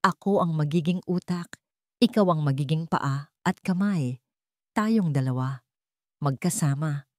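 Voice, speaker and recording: A woman's voice: low-pitched, unhurried, long pauses, no echo, studio quality. A woman voicing a wise, wealthy elderly father in a story, earnest and encouraging. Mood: reassuring.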